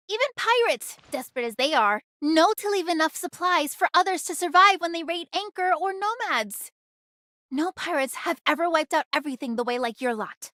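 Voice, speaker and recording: A woman's voice: high-pitched, fairly bright tone, no room sound, clean studio sound. A woman voicing a feisty, indignant young woman in an anime video game, speaking in an emphatic, scolding way.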